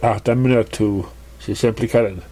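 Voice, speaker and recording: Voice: medium pitch, steady tone, brisk, mellow, a little hiss or hum. Storyteller reading fan fiction aloud. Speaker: story narrator. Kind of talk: scripted fan fiction narration.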